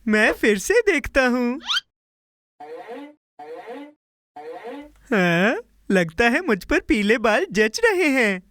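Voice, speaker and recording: A woman voicing an eager, impulsive little boy in a children's cartoon dub, expressive, with drawn-out exclamations. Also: on the high side, noisy background. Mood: dismayed.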